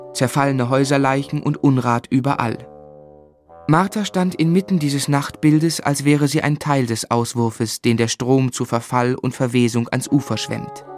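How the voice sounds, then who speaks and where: An actor playing an earnest, compassionate young gentleman writer, narrating his life in measured, articulate for a radio drama. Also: medium pitch, close to an everyday voice. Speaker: narrator and lead character. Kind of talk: literary first-person narration in German radio drama. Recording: music bed under the voice. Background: music.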